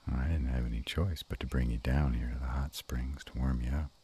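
Man acting a male were-dragon lover, extremely low pitch, very raspy and breathy, affectionate, bashful, intimate roleplay delivery. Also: steady tone, brisk, mellow, exaggerated voice. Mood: tender. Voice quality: very deep and husky. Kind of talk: intimate romantic roleplay audio.

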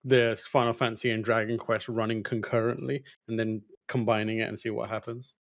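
A man's voice: on the low side, flat delivery, a little fast, dry recording. A man talking casually as a podcast panelist, close on a microphone. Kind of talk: casual chat. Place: indoor room, close mic. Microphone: microphone on boom arm, headset.

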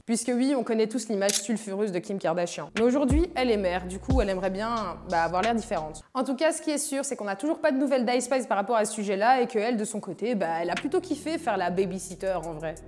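Young woman host, quick and higher-pitched, chatty news read over a music bed. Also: a little mellow. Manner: chatty, addresses viewer directly. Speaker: presenter of a hip-hop news show. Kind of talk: casual scripted news presenting. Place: studio with plain backdrop. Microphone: lapel mic.

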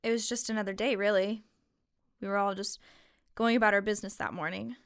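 An actress playing a calm, matter-of-fact woman of twenty-two in a fiction podcast. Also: medium pitch, brisk, clean tone, lightly acted. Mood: reminiscing. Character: blunt, composed young woman of twenty-two, sister of missing girl. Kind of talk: conversational audio drama acting.

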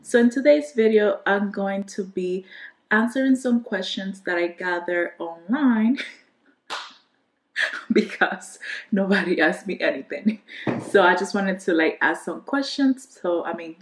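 A woman's voice: medium pitch, measured pace, reverberant. Chatty young woman with Dominican accent. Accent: Dominican Spanish. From Dominican Republic. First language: Spanish. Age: 20s. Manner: chatty, trailing restarts, gesturing with hands. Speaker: beauty vlogger. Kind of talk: casual chat, answering questions to camera. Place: bedroom at home, indoors.

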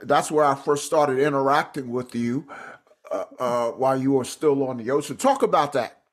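A man's voice: medium pitch, normal speed. A man hosting an interview podcast, moving between prepared introductions and questions to his guest. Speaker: podcast host.

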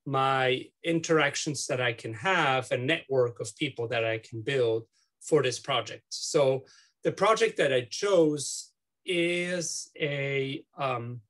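A middle-aged man from Switzerland with a Swiss accent, interviewing someone over a video call from his home office, talking haltingly with many fillers and restarts. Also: medium pitch, a bit bright. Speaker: student interviewer. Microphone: laptop webcam on video call.

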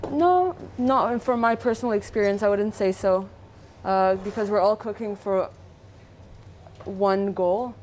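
A woman's voice: medium pitch, measured pace, darkish tone, a little hiss or hum. A young woman from Lebanon, a student chef, talking candidly about kitchen work on a live cooking show. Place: large teaching kitchen studio.

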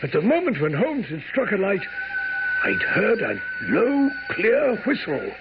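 An earnest gentleman recounting a radio mystery. His high voice swings widely and theatrically through each phrase. The recording is muffled, with slight noise. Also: wildly swooping pitch, unhurried, exaggerated voice. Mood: alarmed. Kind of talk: dramatic radio storytelling.

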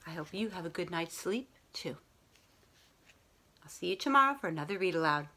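A woman's voice: on the low side, animated, a little fast, pushed and bright. A middle-aged woman reading a picture book aloud to children, expressively, drawing out some words. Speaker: read-aloud host. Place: room at home, indoors.

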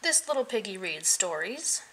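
A woman reading a children's picture book aloud in an expressive, playful way, adding vocal sound effects. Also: mid-range voice, moderate pace, a little hiss or hum, rough recording.

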